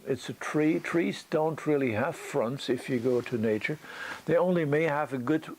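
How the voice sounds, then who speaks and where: A man who speaks as a gives an unscripted critique as a teacher, thinking aloud haltingly with a whimsical storytelling streak. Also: mid-range voice. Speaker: bonsai teacher giving tree critiques. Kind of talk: unscripted spoken critique and demonstration. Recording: noisy ambient location recording.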